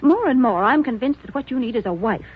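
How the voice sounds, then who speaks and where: Casting: prim, exasperated young woman, breathy and high, vintage lo-fi broadcast. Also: lively intonation, fast talker. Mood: chiding. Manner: chiding, exasperated. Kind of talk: 1940s radio acting. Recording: dull, muffled old radio broadcast.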